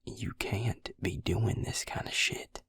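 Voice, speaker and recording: A man playing a protective, exasperated vampire in an intimate audio roleplay, speaking slowly and wryly in a low, breathy near-whisper. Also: steady tone, a bit bright, dry recording, full character voice. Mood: exasperated, scolding. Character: protective, exasperated male vampire tending a wounded werewolf. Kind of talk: intimate audio roleplay acting, addressed to the listener.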